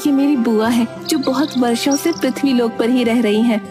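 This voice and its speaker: A gentle young serpent princess from a storybook tale, played by a woman narrator. Her voice is high, polite and earnest, with a trusting temper. Music is clearly heard behind it. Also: steady tone, normal speed, close to an everyday voice.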